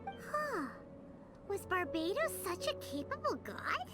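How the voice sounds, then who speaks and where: Squeaky, very high childlike fairy companion. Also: female voice, big pitch swings, slow pace, heavily acted. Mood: wondering. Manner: exclamatory, wide-eyed questioning. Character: tiny excitable fairy-like companion, childlike girl, curious. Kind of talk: anime-style video game voice acting. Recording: studio voice over game music. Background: background music.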